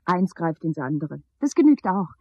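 A woman's voice: lowish voice, big pitch swings, normal speed, husky, dry recording, full character voice. An actress playing a dreamy, tender woman recalling a strange past in a radio play. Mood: musing. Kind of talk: 1970s German radio play acting. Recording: dull, lo-fi old radio recording.

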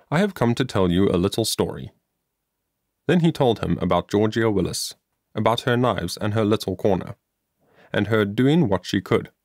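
Guy narrating a kids audiobook, lowish voice, really animated and earnest, dry sound. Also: no room sound. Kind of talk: scripted storytelling narration, children's moral story.

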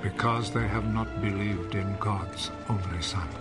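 A man narrating a biblical film in a measured, solemn, scripted style. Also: on the low side, fairly even intonation, lightly acted. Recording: film soundtrack with music behind. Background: music.

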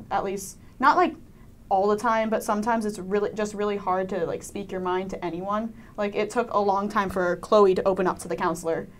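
A teenage young woman speaks in a medium-pitched voice as a student actor being interviewed. She talks casually and a little hesitantly, with "like" scattered through her answers. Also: a little hiss or hum. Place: indoor panel, seated group.

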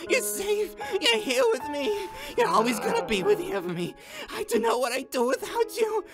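A man's voice: very high-pitched, sing-song, moderate pace, over loud music, heavily acted. A man voicing a brash, sentimental, slangy male demon who dotes on his pet, in a melodramatic cartoon comic dub. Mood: sobbing relief. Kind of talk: animated comic dub acting.

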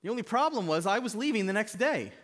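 Guest preacher, man in his thirties, high and lively delivery at a pulpit mic. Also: fast. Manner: animated, rising to emphatic high peaks. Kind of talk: sermon with scripture reading. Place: church stage, mid-size indoor hall. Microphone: stand mic at a pulpit.

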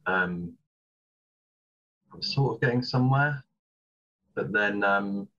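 A man's voice: fairly low pitch, takes it slowly, pushed and bright. A man, a composer guest, talking hesitantly with many ums. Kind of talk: casual interview chat. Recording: dull lo-fi sound.

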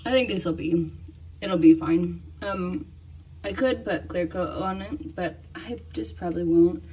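A woman's voice: lowish voice, measured pace, dark tone, husky, muffled recording. Chatty woman filming her kitchen. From Nebraska, USA. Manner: chatty, rambling, many "um"s and restarts. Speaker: farm vlogger. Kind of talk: casual DIY vlog narration. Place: home kitchen, indoors. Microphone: handheld phone camera.

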